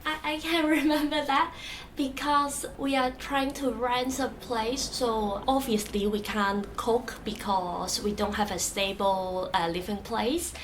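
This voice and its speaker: A young woman from Hong Kong, a native Cantonese speaker with a Hong Kong accent, telling her own story in answer to questions, soft-spoken and hesitant with many fillers and restarts. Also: a little high, fairly even intonation, slow pace, slight background noise, low-quality recording. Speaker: interviewee.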